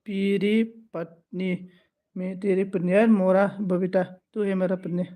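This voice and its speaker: Guy doing a live stream, high voice, kinda flat, thanks everyone over and over. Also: takes it slowly. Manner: switches between Bodo, Hindi and English phrases; repetitive thanks and requests for support. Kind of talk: casual live stream chat with viewers.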